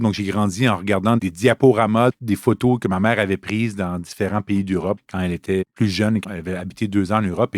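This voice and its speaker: A man's voice: lowish voice, no room sound, clean studio sound. A man in his fifties with a Canadian French accent, a Scrum trainer telling his story casually with fillers in an interview.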